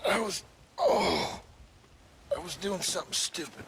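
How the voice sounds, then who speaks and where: A grim but courtly former outlaw from a Western video game. He talks slowly in an American frontier drawl, plain-spoken and earnest, with few words. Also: high-pitched, gravelly, fairly bright tone, a little hiss or hum, heavily acted. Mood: weary, rueful. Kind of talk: video game cutscene acting.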